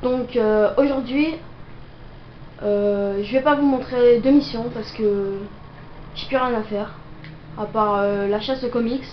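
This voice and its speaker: A woman's voice: on the high side, slow pace. A young woman with a cold improvising gameplay commentary, hesitant and full of fillers. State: has a cold, coughing. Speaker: gameplay video maker. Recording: roomy, dull lo-fi audio with noise.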